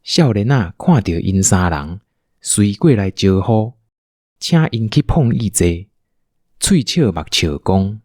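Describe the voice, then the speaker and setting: A man's voice: lowish voice, sing-song, slow, a little mellow, no echo, studio quality. A man with a Taiwanese accent narrating a scripted audio drama as a storyteller.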